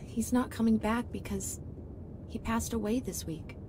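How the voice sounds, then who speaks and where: A woman playing a gentle, patient mother in an audio drama, soothing and reassuring. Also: a little high, a little slow, background noise, lightly acted. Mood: gentle, consoling.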